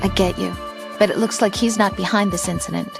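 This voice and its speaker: Lady playing a game mission support woman, sympathetic, bit of fry in the voice, music behind. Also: mid-range voice, steady tone, a little fast, lightly acted. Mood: sympathetic, attentive. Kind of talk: dramatic game voice acting. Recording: game dialogue over music.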